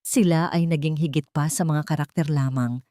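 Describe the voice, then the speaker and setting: Woman storyteller with an even, steady pitch. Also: normal speed, no room sound, studio quality. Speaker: storyteller and channel host. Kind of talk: scripted narration of a romantic drama story.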